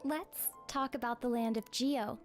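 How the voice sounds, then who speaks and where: A woman's voice: on the high side, a little slow, faint music behind. A woman voice actor streaming a game, plainly reading the dialogue choices aloud.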